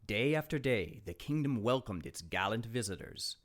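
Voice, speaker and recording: A man narrating a children's fairytale as a storybook reader, in a dramatic, expressive way. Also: on the low side, normal speed.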